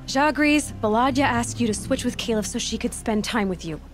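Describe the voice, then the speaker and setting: An actress playing a capable, impatient young heroine in a video game, direct and matter-of-fact. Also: female voice, on the high side, a little fast, close to an everyday voice. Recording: game music behind the voice.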